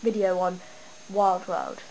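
A young woman with a stuffy cold, chatting and rambling excitedly as she shows off her game town at home. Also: fairly low pitch, takes it slowly, soft background music. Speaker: gamer showing her town. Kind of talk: casual game walkthrough. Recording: noisy home camera recording. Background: steady background noise.

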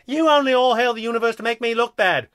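A man's voice: sits high, big pitch swings, brisk, a bit bright, dry recording, studio quality, pitched above the performer's own voice. A man voicing a sulky, petulant male alien underling who protests and pouts in a story reading. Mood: indignant. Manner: protesting, pouting, emphatic.

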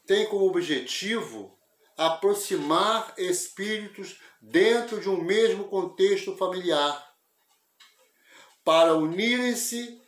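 An older man giving a spiritist lecture over a video call, speaking in a measured, reflective and explanatory way through an earphone mic in a small room at home. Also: high voice, reverberant. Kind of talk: religious lecture. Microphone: wired earphone mic on a video call.

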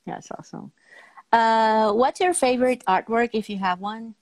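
A middle-aged woman hosting a casual interview on a video call, with halting phrasing. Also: on the high side, darkish tone, no room sound. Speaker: talk show host. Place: indoor room, on a video call.

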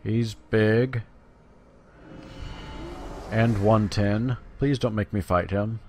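A man's voice: on the low side, fairly even intonation, drawn-out words, a little mellow, a little hiss or hum. A man giving casual gameplay commentary, wry and muttering as if to himself. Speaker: gamer narrating gameplay.

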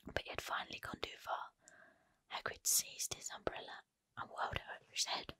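A woman reading a storybook aloud in a soft, unvoiced ASMR whisper. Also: brisk. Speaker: reader.

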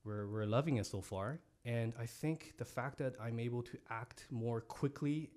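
A young man raised in Japan, a podcast guest speaking earnestly and softly with halting restarts, on a studio mic in an office. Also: medium pitch. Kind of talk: podcast interview. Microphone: studio mic on boom, headphones.

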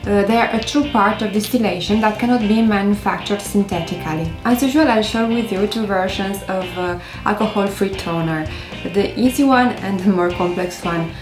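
A woman in her thirties from Romania, speaking English with a Romanian accent, gently hosting a beauty tutorial to camera with frequent hesitations. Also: mid-range voice, moderate pace, echoey room, music in the background. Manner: gentle, careful delivery with many hesitations. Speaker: beauty vlogger. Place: indoors by a stone wall.

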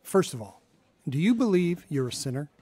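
An earnest young pastor speaks in a fairly high male voice. He is gentle and instructive, in the manner of a radio drama. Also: very animated, moderate pace, husky, dry recording. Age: young adult.

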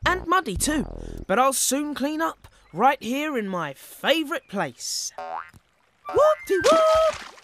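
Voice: high-pitched, huge pitch swings, slow, a bit bright, a little hiss or hum, full character voice. An actor voicing a jolly, easygoing frog in a preschool cartoon, bouncy and playful. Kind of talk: preschool animated show dialogue.

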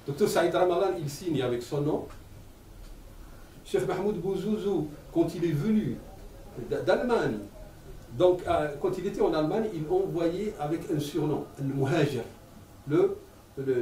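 A man in his sixties with an Arabic accent, giving an animated public talk with many repeated words. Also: a little high, a little slow, slight background noise. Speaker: lecturer. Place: lecture room. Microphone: table stand mic. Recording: echoey room, mic at a distance.

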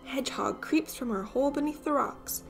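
Higher-pitched woman reading a storybook. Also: normal speed, over music. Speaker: storyteller reading a picture book aloud. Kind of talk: expressive children's storybook narration.

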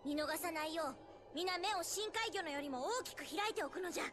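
A woman's voice: very high-pitched, racing, fairly bright tone. An actress voicing a quirky young female pirate captain in a Japanese video game who talks in an old-fashioned way. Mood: commanding. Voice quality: old-fashioned speech endings.

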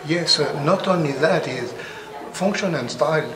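A man's voice: on the high side, moderate pace, background noise. An older man speaking earnestly in a trade show interview, restarting and searching for words now and then. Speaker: brand representative. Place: large carpeted hotel hall at a trade show. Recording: echoey hall with loud crowd chatter. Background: loud crowd chatter.

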